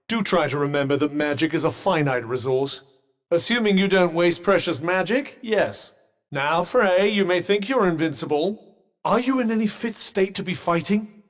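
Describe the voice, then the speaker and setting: A man's voice: high-pitched, big-room sound. An actor voicing a sardonic male magic bracelet companion in a game, with dry, witty, teasing quips. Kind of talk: game voice lines.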